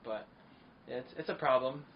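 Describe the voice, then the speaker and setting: A man's voice: medium pitch, normal speed. A man hosting a podcast, talking in a rambling, enthusiastic casual way. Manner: rambling, enthusiastic, many fillers. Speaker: podcast host. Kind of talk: casual chat. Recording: dull lo-fi sound with faint noise.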